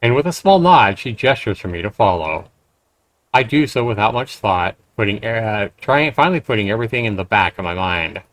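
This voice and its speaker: A man's voice: fairly low pitch, animated, fairly quick, a bit bright, no echo, rough recording. A man streaming a game, reading its text aloud and adding blunt, profane casual commentary.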